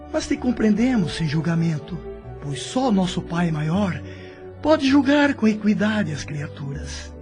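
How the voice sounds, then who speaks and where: A Brazilian man playing a gentle, forgiving and pious father spirit in an audio drama. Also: high voice, exaggerated voice.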